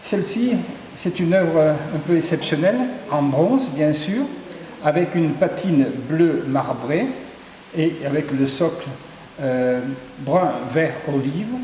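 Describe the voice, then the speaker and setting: A man's voice: fairly high pitch, takes it slowly, warm tone, a little hiss or hum. A man from southern Ardèche, a sculptor, describing his works at length with small hesitations in an interview at an exhibition. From southern Ardèche, France. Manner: descriptive, lists details with hesitations. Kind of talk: interview, explaining his artworks. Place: tourist office exhibition hall. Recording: echoey hall with faint ambience.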